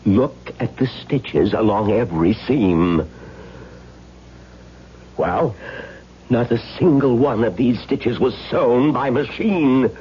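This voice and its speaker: An actor playing a fussy, anxious costume expert, precise and dramatic, in a 1970s radio drama. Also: male voice, medium pitch, takes it slowly, long pauses, natural voice. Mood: anxious, insistent. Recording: muffled, noisy vintage radio broadcast with hum.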